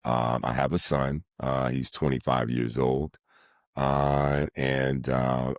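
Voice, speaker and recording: A middle-aged man, a sports announcer, speaking in a deliberate, formal and wordy way in an interview. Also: a bass voice, a little mellow, no echo, studio quality. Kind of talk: measured, formal interview talk.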